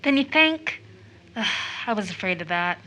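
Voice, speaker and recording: A woman's voice: medium pitch, lively intonation, moderate pace, bright, forceful tone, low-quality recording. An actress playing an impulsive, affectionate teenage daughter in an audio drama of an early 1900s play, with an American accent and a gushing, girlish manner. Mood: troubled. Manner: gushing, exclamatory, emotional.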